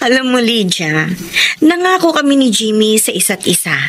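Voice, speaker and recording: High-voiced young woman in love. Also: sing-song, unhurried, a bit bright, slight background noise. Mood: playful, proud. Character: devoted young woman in love, teasing with friends. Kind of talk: Tagalog radio drama acting.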